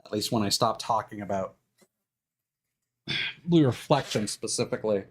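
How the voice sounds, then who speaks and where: Low-voiced man streaming casually. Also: moderate pace, a little hiss or hum. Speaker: video game streamer. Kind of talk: casual live stream commentary, rambling while troubleshooting.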